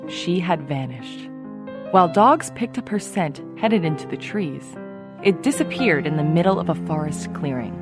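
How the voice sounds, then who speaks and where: A woman's voice: lowish voice, close to an everyday voice. A woman playing a self-important true-crime podcast host, earnest and easily irked, with a polished, dramatic delivery. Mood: solemn, dramatic. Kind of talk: scripted true-crime podcast narration and interviewing.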